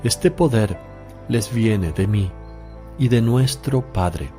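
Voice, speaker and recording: An authoritative master teaching disciples in a religious radio drama. He speaks very slowly and solemnly, in a mellow tone. Music sits behind him. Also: fairly low pitch. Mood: solemn instructing. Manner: measured, solemn, exhortative.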